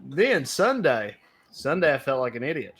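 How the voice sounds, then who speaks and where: A young man co-hosting a casual podcast from home, talking in a loud voice that carries and telling stories in a chatty way. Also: medium pitch, very animated, normal speed, husky. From United States. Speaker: podcast co-host. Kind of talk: casual chat. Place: home, remote recording. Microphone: home podcast mic.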